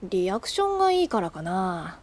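A woman's voice: mid-range voice, sing-song, normal speed, darkish tone, dry recording. A woman playing a confident, easygoing, teasing senior schoolgirl in an anime audio drama, speaking in a playful, casual way.

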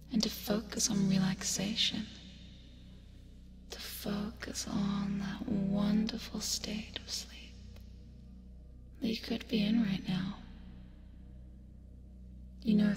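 A woman playing a teasing, creepy yet caring sleep paralysis demon in a breathy, soothing ASMR hypnosis roleplay, close to the microphone. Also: mid-range voice. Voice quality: breathy, whispery. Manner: slow, soothing, coaxing with teasing asides. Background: music.

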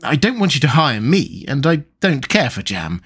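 Sensible young storybook girl, courteous and puzzled, lightly raised pitch, animated, acted by a male reader. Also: moderate pace, slightly rough, full character voice. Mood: puzzled, reasoning. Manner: courteous, timid yet reasoning. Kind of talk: storybook character voice.

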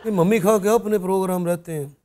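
A man's voice: high voice, darkish tone, a little hiss or hum, natural voice. A man in drag playing a sassy middle-aged auntie who teases and sings folk songs in a TV studio. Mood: teasing. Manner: teasing, playful, sings folk tune slowly. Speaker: comedian in drag. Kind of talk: comedy sketch with singing. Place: TV studio set.

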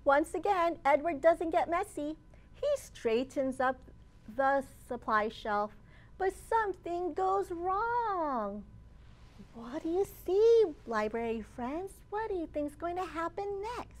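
A woman in her thirties, a children's librarian hosting storytime, reading aloud expressively and deliberately with dramatic emphasis for young children. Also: sits high. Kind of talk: animated read-aloud of a picture book for young children. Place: open library children's area, indoors.

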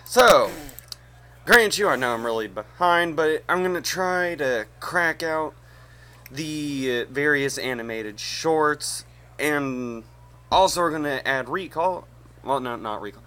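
A young adult man chatting casually and haltingly as a video host, recorded indoors on a webcam mic. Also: a little high, expressive, pushed and bright. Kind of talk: casual reaction chat. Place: small indoor room.

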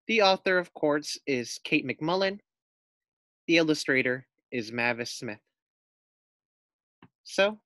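A man's voice: fairly high pitch, pushed and bright, no room sound. A man with an American accent reading a children's story aloud like a teacher, putting questions to his young listeners. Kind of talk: expressive children's read-aloud narration.

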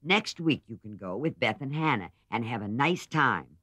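A gentle older sister from a storybook, speaking softly and reasonably, as a man reads her. The voice stays low-key and calm, recorded close and dry. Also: moderate pace, no room sound. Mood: soothing. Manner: soft and soothing.

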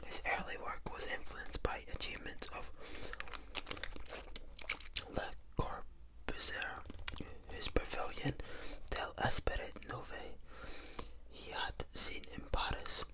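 A man with a slight cold, hosting an ASMR video in a slow, croaky whisper while reading aloud at a table. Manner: soft unvoiced whisper, unhurried. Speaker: ASMR channel host. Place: indoors at a table.

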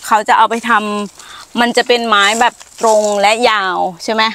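A woman's voice: on the high side, level tone, fairly bright tone. Middle-aged woman explaining trees outdoors. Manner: unhurried, explanatory, hesitant fillers. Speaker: farm owner showing trees. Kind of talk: casual guided walk explaining trees. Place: outdoors on a farm among trees.

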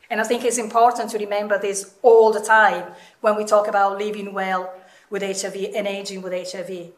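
A middle-aged woman with a Southern European accent, giving an informal seminar talk in a candid, emphatic manner. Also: medium pitch. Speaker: seminar speaker, researcher. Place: indoor seminar room with seated audience. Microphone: microphone on a stand. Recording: echoey room, some distance from mic.

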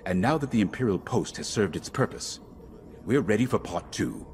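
Man playing a deep-voiced rebel commander. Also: expressive, noisy background, lightly acted. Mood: determined. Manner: authoritative, measured. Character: commanding middle-aged male rebel commander, calculating, secretive. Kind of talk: video game briefing dialogue.

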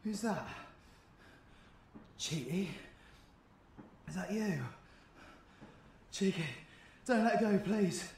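A man's voice: high-pitched, drawn-out words, creaky, pauses often, darkish tone, echoey room, a little hiss or hum, heavily acted. A British man playing a foul-mouthed, fiercely protective fighter in an audio roleplay, blunt and emotional. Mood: pained, frightened.

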